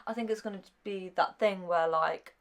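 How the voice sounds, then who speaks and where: A woman's voice: medium pitch, moderate pace. A woman chatting casually as a reaction show co-host, feeling her way through loose, hesitant phrases.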